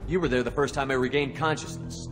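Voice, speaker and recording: A man's voice: medium pitch, steady tone, quick pace, music in the background, natural voice. A man acting a wary, principled military commander in a video game, blunt, guarded and questioning. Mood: wary, probing.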